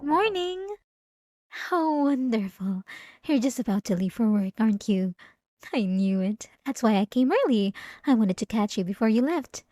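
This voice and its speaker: A woman playing a sweetly devout, possessive young church girl in a scripted ASMR roleplay, soft-spoken and halting, with sugary politeness and an unsettling edge. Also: on the high side, animated, no echo, studio quality, natural voice. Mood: cheerful, doting. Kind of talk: scripted ASMR roleplay monologue addressed to the listener.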